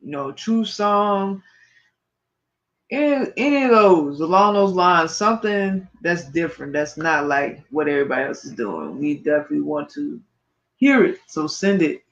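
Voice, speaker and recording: Higher-pitched man, tired, on dull stream audio. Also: animated, moderate pace, fairly bright tone. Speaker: podcast co-host. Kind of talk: casual, slangy show talk.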